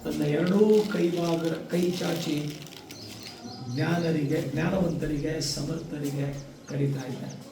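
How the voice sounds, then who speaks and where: A man giving a motivational speech to students, emphatic, with rhetorical repetition and deliberate pauses. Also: on the high side, flat delivery. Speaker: guest speaker addressing schoolchildren. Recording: echoey, distant microphone, faint noise.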